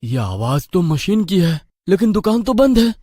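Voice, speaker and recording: Man playing a high-pitched, excitable young villager. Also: normal speed, no room sound, studio quality. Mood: puzzled. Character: excitable, self-assured young village men in a horror tale. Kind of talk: acted horror-comedy story dialogue.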